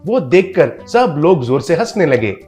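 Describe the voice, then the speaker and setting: A man's voice: on the high side, big pitch swings, measured pace, loud music behind the voice. A man narrating a scripted comic moral story.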